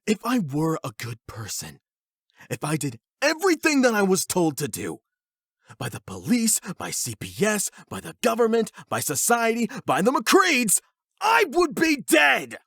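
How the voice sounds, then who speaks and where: A man's voice: high voice, huge pitch swings, measured pace, vocal fry, a bit bright, husky, dry recording, studio quality, heavily acted. A man playing an angry, hurt young delinquent, defiant and sarcastic, in an emotional anime-style audio drama. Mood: bitter, building anger. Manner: sweary, sarcastic, confrontational.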